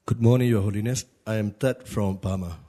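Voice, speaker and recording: A man's voice: lowish voice, fairly even intonation, fairly quick, warm tone. A man giving a brief, formal self-introduction as a youth delegate.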